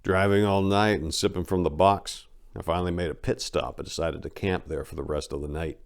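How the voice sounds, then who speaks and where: Man, low-pitched voice, theatrical emphatic delivery, dramatic audiobook reader. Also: fairly even intonation, brisk, creaky.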